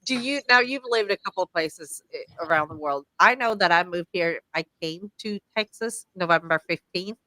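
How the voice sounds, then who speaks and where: A woman's voice: fairly low pitch, moderate pace, few pauses, dry recording, poor audio. A woman from Sweden hosting a podcast, chatting casually and telling stories with acted-out quotes. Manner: animated storyteller, acting out quoted lines. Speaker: podcast host.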